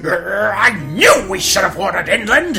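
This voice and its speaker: A man's voice: high-pitched, big pitch swings, normal speed, vocal fry, pushed and bright, soft background music, pitched above the performer's own voice, heavily acted. A man voicing a flustered, caffeine-starved king in a comedic fairy-tale parody, blustering and stammering. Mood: outraged.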